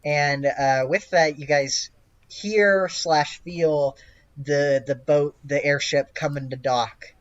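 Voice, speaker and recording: A man narrating an improvised tabletop game as game master, with a hesitant, halting delivery. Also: on the high side, fairly even intonation, muffled recording.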